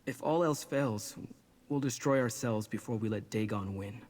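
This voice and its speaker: A man's voice: medium pitch, moderate pace, a little mellow, close to an everyday voice. An actor playing an earnest, apologetic young man burdened with guilt in a video game. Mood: despairing.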